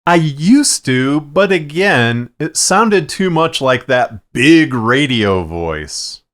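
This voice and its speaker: A man narrating a tutorial in a measured, plain-spoken, instructional way, explaining his production process step by step. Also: on the high side, sing-song, fairly bright tone. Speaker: fiction podcast producer and narrator. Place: acoustically treated recording space.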